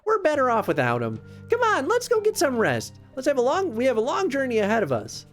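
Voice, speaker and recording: A plucky young boy monkey from a storybook, played by a woman reading aloud. He is excitable and emphatic, with a voice pushed up high and swooping widely. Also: a little fast, soft background music. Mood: dismissive. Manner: eager, emphatic, youthful.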